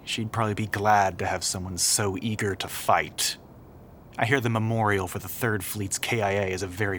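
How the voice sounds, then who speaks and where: Man acting a low-voiced, troubled young military navigator, noisy recording. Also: a bit bright, lightly acted. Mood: bitter sarcasm. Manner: halting, stammering, emotionally charged delivery. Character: troubled young male military telepath navigator, bitter, volatile, apologetic. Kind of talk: audio roleplay acting addressed to the listener. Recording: clearly noisy background ambience.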